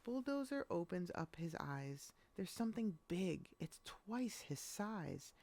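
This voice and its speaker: A woman reading a rhyming children's picture book aloud in an expressive storytelling style. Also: fairly low pitch, slow pace.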